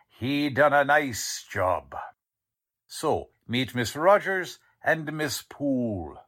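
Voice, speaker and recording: An actor playing a blunt, slangy, wisecracking police lieutenant in a 1940s style radio drama. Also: male voice, medium pitch, lively intonation, extremely slow, fairly bright tone, heavily acted. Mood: brisk, sardonic.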